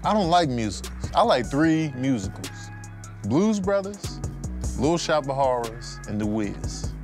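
A man's voice: mid-range voice, very animated, a little slow, over music. A man comedian speaking to camera in a casual, colloquial, playfully ranting way. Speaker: comedian contestant. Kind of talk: casual comic confessional. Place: podcast studio.

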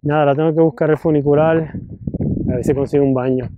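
A man's voice: fairly high pitch, steady tone, fast. Man vlogging, dull noisy outdoor sound. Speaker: travel vlogger. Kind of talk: casual walking travel narration. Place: mostly outdoors on mountain hiking trails.

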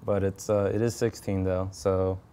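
A young man giving a casual, matter-of-fact technical walkthrough as a bike builder, in a halting way. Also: deep voice, level tone, warm tone, clear, resonant tone. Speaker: custom motorcycle builder. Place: home garage with door open.

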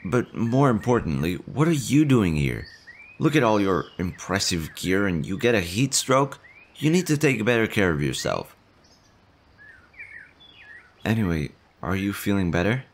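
A timid, stammering slime boy, voiced by a man in an audio roleplay, fretting and apologizing as he speaks. His voice sits very low, while birds chirp faintly behind him. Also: sing-song, normal speed. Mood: shy. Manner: stammering, anxious, fretful. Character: nervous shy male slime monster. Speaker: voice actor.

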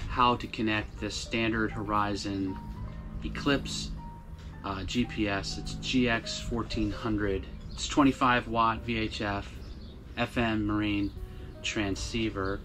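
An American man in his fifties hosting a casual hands-on DIY vlog, talking in a halting way as he thinks aloud, recorded on a boat by a camera in front of him. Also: lowish voice. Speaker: video host. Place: outdoors on a small boat under a canvas top at a marina.

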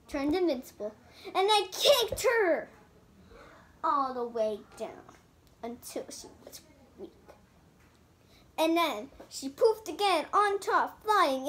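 Child storyteller, high voice, dramatic and halting, making up a toy fairy tale at an unhurried, slow pace. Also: fairly bright tone, a little hiss or hum. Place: indoors in a room at home.

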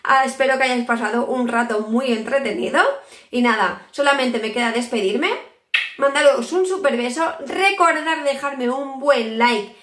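A woman's voice: high-pitched, moderate pace, a bit bright, echoey room. A young woman from Spain, chatting to camera in her home kitchen as she shows off her weekly shopping. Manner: chatty, shows items to camera, frequent restarts. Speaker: home vlogger. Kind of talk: casual haul video to camera.

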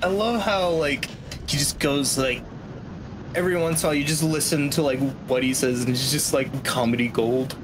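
A young man doing casual live commentary as a speedrunner, in a halting way that repeats and restarts phrases. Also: fairly high pitch, noisy background. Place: indoor room at home, webcam stream. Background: video game music and vehicle-like game sounds.

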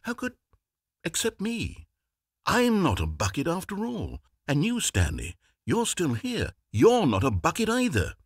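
A man's voice: fairly high pitch, a little slow, dry recording, heavily acted. A man playing a fussy, theatrical video game narrator, dramatic, exasperated and condescending by turns. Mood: relieved. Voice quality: husky, very animated delivery. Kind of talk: scripted video game voice acting. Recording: dry close studio recording.

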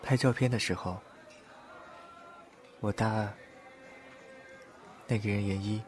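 A young man acting a gentle, reserved young man in a confiding audio drama role. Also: fairly low pitch, fairly even intonation, takes it slowly, soft background music. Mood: hesitant, confiding.

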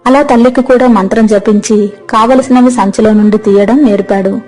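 A woman's voice: on the high side, steady tone, moderate pace, dark tone, soft background music. A woman narrator reading a scripted story.

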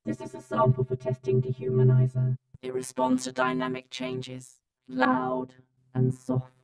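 A woman reading a scripted test sample in short deliberate statements, processed through a robotic vocoder or ring modulator effect. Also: high voice, very animated, creaky, darkish tone, soft background music, rough recording.

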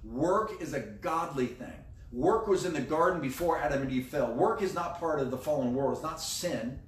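A man's voice: fairly high pitch, animated, normal speed, slight background noise. A middle-aged American man preaching a conversational sermon as a pastor, emphatic and repeating key phrases. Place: indoor room beside a screen. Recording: roomy echo, distant microphone.

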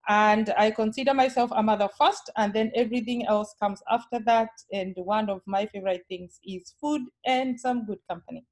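Kenyan-accented woman, nurse educator explaining a care model to colleagues on a video call, mid-pitched, warm and patient. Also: moderate pace, few pauses. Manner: friendly, explanatory, with frequent filler sounds. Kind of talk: webinar presentation.

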